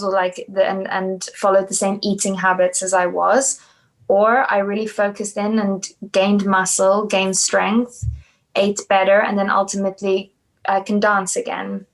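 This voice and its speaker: A woman's voice: medium pitch, low-quality recording. A young woman from South Africa, a dancer being interviewed, talking casually with many hesitations and restarts. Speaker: podcast guest, dancer.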